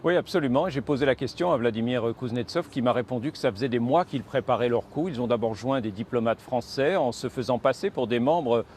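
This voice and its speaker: Guy doing a live correspondent report, medium pitch, some traffic noise outside. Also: brisk, hardly any pauses, darkish tone. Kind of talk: live news report, conversational. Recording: outdoor city noise with traffic.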